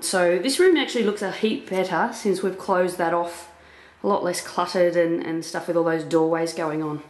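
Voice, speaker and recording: A woman giving a chatty, upbeat walkthrough of a house renovation, filmed on a handheld camera. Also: on the low side. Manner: chatty, upbeat, full of fillers. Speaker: property investor renovating a house. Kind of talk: walkthrough vlog narration. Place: empty house under renovation, indoors. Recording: echoey bare rooms.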